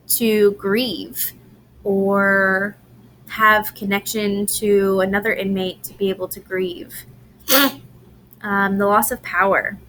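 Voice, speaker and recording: A woman giving a recorded lecture presentation, unhurried with frequent "um"s and restarts, her voice a little strained from allergies. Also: medium pitch, fairly bright tone, a little hiss or hum, poor audio. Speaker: presenter on grief and incarceration.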